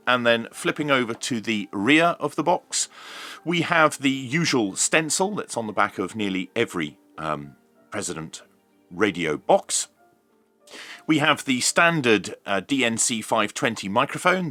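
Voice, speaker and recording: A man's voice: lowish voice, fairly bright tone. A British man presenting an unboxing review as host, with a jokey, tongue-in-cheek manner. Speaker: host of a radio review channel.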